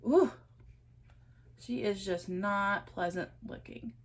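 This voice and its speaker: A woman's voice: medium pitch, slow pace. A woman storyteller reading a children's picture book aloud expressively, adding chatty asides to the listeners.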